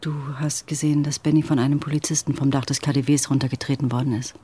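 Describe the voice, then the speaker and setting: A woman's voice: rumbling low, fairly even intonation, fast, dark tone, breathy edge. An actress playing a guarded but caring young woman, cool and direct, in a naturalistic German radio drama. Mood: calm, evasive.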